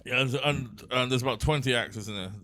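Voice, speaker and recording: A man co-hosting a comedy podcast, teasing the others with quick jabs. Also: mid-range voice, pushed and bright, dry recording. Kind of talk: casual banter.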